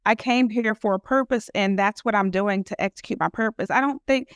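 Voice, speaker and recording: A woman's voice: medium pitch, a little fast, clean tone, no room sound, studio quality. A woman guest in a casual interview who talks earnestly about her work, rambling and filling her speech with "you know". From United States. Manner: earnest, rambling with many fillers. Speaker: guest entrepreneur.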